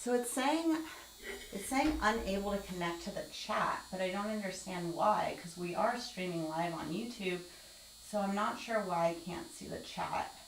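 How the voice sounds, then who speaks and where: A woman's voice: mid-range voice, expressive, normal speed, roomy sound, slight background noise, rough recording. A woman in her forties hosting a live skincare stream, chatting casually while she explains each step and reads the chat aloud. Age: 40s. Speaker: skincare livestream host.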